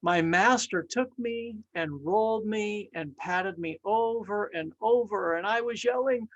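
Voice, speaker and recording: A woman voicing a dramatic, indignant talking teacup in a storybook tale, pleading with drawn-out, emphatic exclamations. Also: mid-range voice, dull audio, voice pushed up, natural voice. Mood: indignant, dizzy.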